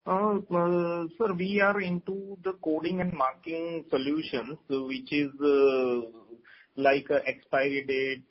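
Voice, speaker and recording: Halting Indian man, higher-pitched, on a call. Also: little pitch movement. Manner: halting, hesitant, piecing answers together. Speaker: company executive. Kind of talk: answering questions on an earnings call. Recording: dull lo-fi conference call audio.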